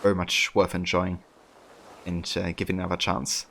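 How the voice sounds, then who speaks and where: A man with a German accent, a native German speaker, chatting casually while streaming, relaxed and rambling with many fillers. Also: low voice, moderate pace, vocal fry, a little hiss or hum. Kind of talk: casual live-stream commentary.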